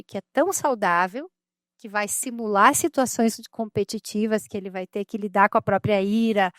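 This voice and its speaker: A woman's voice: mid-range voice, no room sound. Emphatic woman. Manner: emphatic, asks rhetorical questions. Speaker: podcast co-host. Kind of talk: casual conversation.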